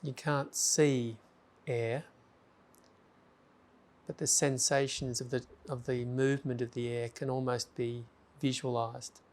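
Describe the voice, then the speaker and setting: A man leading a guided meditation, giving slow, gentle instructions with long pauses. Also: mid-range voice, little pitch movement.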